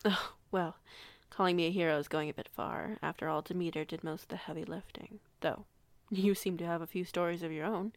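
Pack alpha played by a woman: calm, generous female werewolf, gravelly and close-miked dry, measured formal phrasing for audio drama. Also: fairly low pitch, no echo, close to an everyday voice. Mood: modest. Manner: measured, composed, slightly formal. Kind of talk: audio roleplay acting, measured dialogue.

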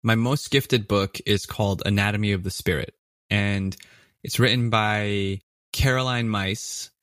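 A man's voice: fairly low pitch, a bit bright, dry recording, studio quality. A man from New Mexico with an American accent, chatting casually as a podcast guest. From New Mexico, United States. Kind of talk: casual chat.

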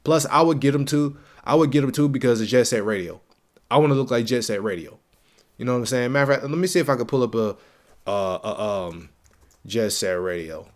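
A man's voice: mid-range voice, fairly quick. A young man hosting a casual solo gaming podcast, a little stuffy and congested, talking in slang with a hype-man energy and repeating his lines for emphasis. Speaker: podcast host.

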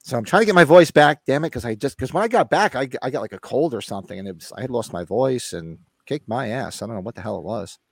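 Lively-voiced man with a fading voice. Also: medium pitch, quick pace, gravelly, few pauses, husky, no room sound, clean studio sound. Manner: lively, joking, reads viewer comments aloud. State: losing his voice. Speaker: podcast co-host. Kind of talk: casual chat, reading live chat.

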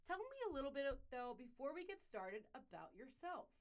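A woman's voice: high voice, moderate pace. Chatty young woman hosting a podcast. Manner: chatty and animated, with a punchy scripted opening. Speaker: podcast host. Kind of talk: podcast interview chat.

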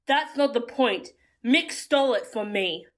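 High-voiced stubborn young superpowered woman, acted. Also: lively intonation, measured pace. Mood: indignant. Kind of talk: audio drama acting.

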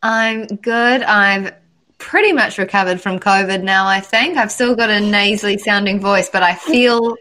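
Bright chatty woman hosting a podcast, lots of ums, upbeat. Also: medium pitch, a little slow. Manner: upbeat and chatty, frequent fillers. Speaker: podcast host. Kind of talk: casual podcast chat.

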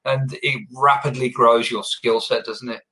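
A man speaks as a podcast host in an upbeat, conversational interview style. His voice is bright and forward, with a clear edge to it. He addresses both guest and listeners directly. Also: medium pitch, little pitch movement, measured pace. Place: based in Melbourne, live online podcast.

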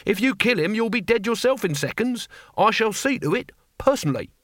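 A man voicing a blunt, gruff old male barbarian hero in an audiobook, rough and plain-spoken. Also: high voice, animated, brisk, fairly bright tone, no room sound, studio quality, pitched above the performer's own voice.

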